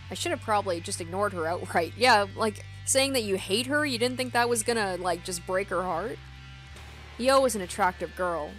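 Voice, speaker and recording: A woman's voice: mid-range voice, poor audio. A young adult woman game streamer reading story narration aloud and adding casual commentary.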